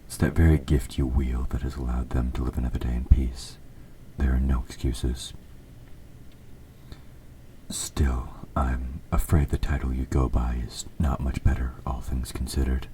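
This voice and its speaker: A man playing an evil king in an audio roleplay, speaking to the listener in a formal, measured, politely sinister way. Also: a bass voice, little pitch movement, dark tone. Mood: sympathetic, formal. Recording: faint background noise.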